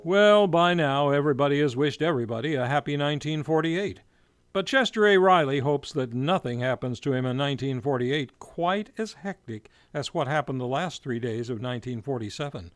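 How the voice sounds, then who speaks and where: A male announcer reads scripted narration for an old-time radio comedy. His voice sits a little high, close and dry, with emphatic, theatrical phrasing. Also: fairly quick, no room sound, faint music behind.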